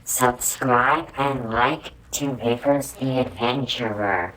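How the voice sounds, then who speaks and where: A woman's voice: extremely low pitch, takes it slowly, fairly bright tone, low-quality recording. A woman reciting a promotional line through voice-changer filters. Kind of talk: repeated channel promo line.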